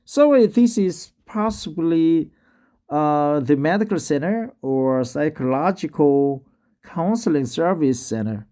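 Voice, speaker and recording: A man with a Korean accent, a native Korean speaker practicing English in a casual vlog, slightly tipsy and halting, full of hesitation fillers. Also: a little high, lively intonation, warm tone. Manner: halting, many drawn-out fillers. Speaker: English learner vlogging. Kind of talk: casual talk and reading aloud.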